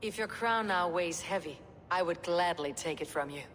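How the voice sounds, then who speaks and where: Woman acting a low-voiced stoic Viking warrior woman. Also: moderate pace, fairly bright tone, close to an everyday voice. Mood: dry, wry. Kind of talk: video game dramatic acting. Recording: reverberant with faint background noise.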